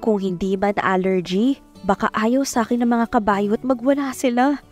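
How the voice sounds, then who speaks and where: A woman's voice: a little high, normal speed, close to an everyday voice. An actress playing a timid, tender young Filipina telling her love story in a romantic audio drama, speaking with a Filipino accent. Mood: nervous. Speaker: narrator and lead actress. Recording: music bed behind voice.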